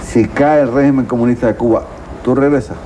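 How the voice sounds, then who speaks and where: A man's voice: mid-range voice, fairly even intonation, fairly quick, warm tone, a little hiss or hum. A man with a Cuban accent asking questions as a conversational documentary interviewer.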